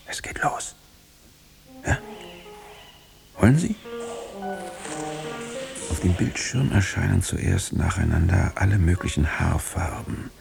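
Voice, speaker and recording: An eerie, suggestive radio-play figure in a very deep, creaky voice. He speaks in slow, halting phrases with theatrical weight, often sinking towards a whisper. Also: heavily acted. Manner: dramatic, halting delivery dropping into whispers. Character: eerie man describing a futuristic gene laboratory, suggestive, theatrical. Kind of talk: German radio drama acting.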